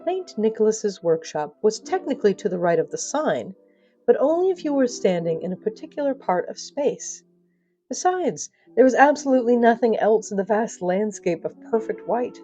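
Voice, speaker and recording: Playful woman storyteller with lively, swooping delivery. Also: on the high side, normal speed, highs cut off. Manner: playful, wry asides to the listener. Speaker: story narrator. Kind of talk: comic scripted audio fiction narration. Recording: band-limited sound, music behind.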